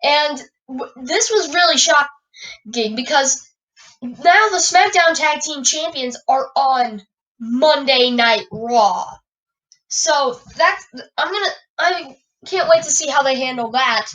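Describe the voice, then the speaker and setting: A woman hosting a wrestling podcast, raspy and stuffy from a cold, moving from an announcer-style welcome into loose rambling chat full of fillers. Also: sits high, expressive, slow, a bit bright, distant mic, dull audio. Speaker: podcast host. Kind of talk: wrestling news commentary.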